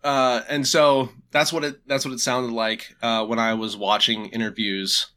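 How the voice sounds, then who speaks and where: A man hosting a casual podcast chat, stuffed up with a cold, talking in a relaxed, chatty way with frequent restarts. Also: mid-range voice, unhurried. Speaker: podcast host.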